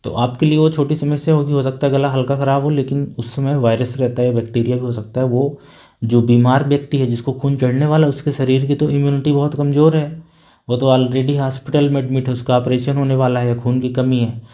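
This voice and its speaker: Calm, mellow-voiced male doctor explaining. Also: mid-range voice. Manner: calm, patient, plain-spoken with filler pauses. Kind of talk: explanatory health talk.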